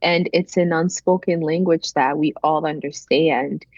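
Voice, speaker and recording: A woman's voice: low-pitched, fairly even intonation, unhurried, dry recording, lo-fi sound. A woman interview guest telling her personal story in a reflective, emphatic way, repeating phrases for weight. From Benin, later grew up in the United States.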